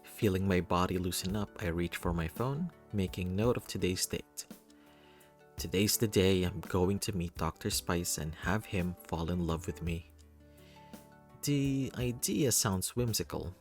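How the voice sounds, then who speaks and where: A man reading a first-person story aloud in an expressive, playful, theatrical way. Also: low-pitched. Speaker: reader of a visual novel.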